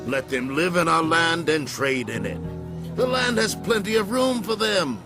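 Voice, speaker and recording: A man's voice: a little high, lively intonation, unhurried. A man acting a diplomatic father who rules an ancient city, speaking persuasively in a scripture audio drama. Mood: reassuring. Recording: loud music under the voice.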